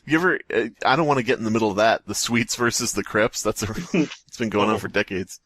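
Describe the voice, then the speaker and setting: A man's voice: on the low side, fast, a bit bright, no room sound. A man hosting a comedy podcast, riffing casually and reading silly stories aloud in a jokey way. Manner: jokey, riffing, acts out bits. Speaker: podcast host.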